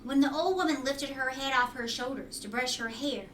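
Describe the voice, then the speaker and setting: High, bright woman reading to children. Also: normal speed. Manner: explanatory, checks in with listeners with questions. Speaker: read-aloud teacher. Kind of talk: children's storybook read-aloud with questions to listeners. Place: at home indoors. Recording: roomy home recording, distant mic, faint noise.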